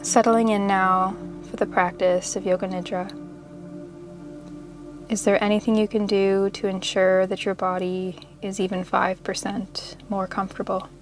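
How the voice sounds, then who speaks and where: Slow, even-toned woman guiding meditation over music. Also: medium pitch. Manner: soothing, unhurried, measured instruction with pauses. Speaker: yoga nidra meditation guide. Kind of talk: guided meditation narration.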